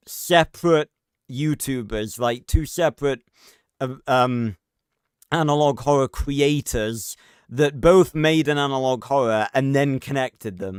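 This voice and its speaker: A man's voice: mid-range voice, smooth, dry recording, studio quality. A man giving casual, rambling livestream reaction commentary with frequent swearing. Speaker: reaction streamer.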